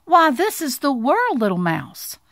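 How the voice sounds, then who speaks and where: A woman voicing a tender, reassuring mother mouse in a storybook, soothing and unhurried. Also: high-pitched, wildly swooping pitch, fairly bright tone, dry recording, studio quality, voice pushed up, exaggerated voice. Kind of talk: storybook character voice.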